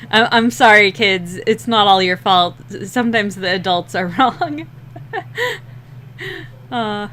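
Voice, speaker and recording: Giggly woman with a rough voice. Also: a little high, sharp tone. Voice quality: slightly rough, scratchy voice. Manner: rambling, giggly, self-deprecating. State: sleep-deprived. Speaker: digital artist streaming a drawing session. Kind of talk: casual chat while drawing.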